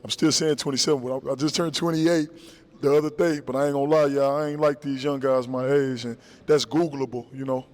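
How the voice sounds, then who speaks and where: A man's voice: mid-range voice, steady tone, fairly quick, a little mellow, in a large hall. A man in his twenties, a dating show contestant, talking in laid-back slang and bragging a bit. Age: 20s.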